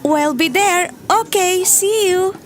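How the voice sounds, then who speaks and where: Very high-voiced feisty schoolgirl. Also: slow pace. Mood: brisk, friendly. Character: feisty, bantering teenage schoolgirl friend. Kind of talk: Tagalog audio drama acting.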